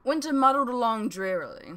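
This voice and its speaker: A woman's voice: on the high side, big pitch swings, fairly quick, a bit bright. A woman reading her own novel aloud as a first-person storybook narration.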